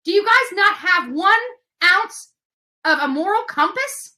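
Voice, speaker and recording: A woman's voice: extremely high pitch, lively intonation, unhurried, sharp tone. A woman livestream host delivering an impassioned, confrontational rant, emphatic and indignant, with some swearing.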